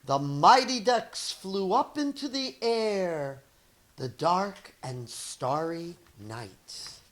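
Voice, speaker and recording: Slow-paced woman reading a storybook aloud. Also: fairly low pitch, sing-song. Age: middle-aged. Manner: slow, deliberate, acts out dialogue. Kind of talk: expressive read-aloud storytelling for children.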